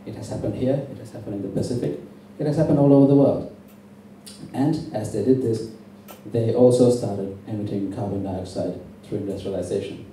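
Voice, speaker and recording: A man's voice: lowish voice, mellow. Impassioned man speaking in a very echoey hall. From born in Sri Lanka. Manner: emphatic, intense, deliberate rhetoric. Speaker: campaign speaker at a public meeting. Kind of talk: impassioned political speech. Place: indoor public meeting venue. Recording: very echoey, distant, faintly noisy hall recording.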